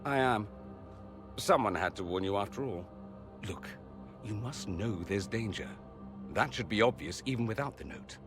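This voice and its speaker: An actor playing a witty, self-assured foreign mage in a video game, with an arch, flamboyant manner. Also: male voice, lowish voice, expressive, moderate pace, long pauses, music in the background, natural voice. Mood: arch, explaining. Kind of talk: video game dialogue acting.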